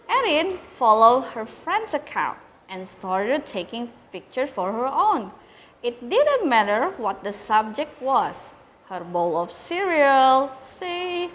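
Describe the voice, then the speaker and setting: Indonesian lady reading a kids story out loud, really lively and unhurried, bit of background noise. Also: high voice. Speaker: story reader and host of a school read-aloud program. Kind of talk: expressive read-aloud storytelling for children.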